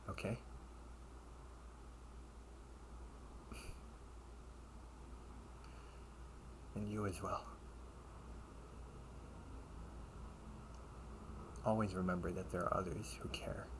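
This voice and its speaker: A man playing a loyal knight comrade, earnest and encouraging, in an intimate dramatic audio roleplay with halting, breathy phrasing. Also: sits low, little pitch movement, background noise. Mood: tender, resigned. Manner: slow, halting delivery with breathy, hushed phrases.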